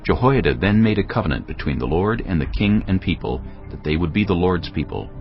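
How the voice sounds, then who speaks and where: Man, extremely deep voice, gravelly, measured Bible narration, lively intonation, faint music. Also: lo-fi sound. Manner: steady, measured reading.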